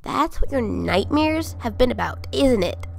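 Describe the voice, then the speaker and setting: A woman voicing a cold, taunting child, smug and deliberate, in a cartoon comic dub. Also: a little high, expressive, vocal fry, noisy background. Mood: smug, probing. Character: cold, taunting child who remembers killing everyone.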